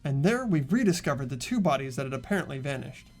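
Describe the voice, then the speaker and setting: A man's voice: mid-range voice, big pitch swings, fast talker, soft background music. A man playing an earnest teenage boy investigator in dramatic video game dialogue. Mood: earnest, recounting. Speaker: voice actor in a fan playthrough.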